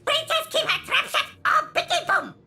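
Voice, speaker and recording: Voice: very high-pitched, sing-song, normal speed, sharp tone, exaggerated voice. An actor voicing a small, crazed gnome-like monster that barks threats in broken, simple phrases for a video game. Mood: menacing, frantic. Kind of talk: video game character acting.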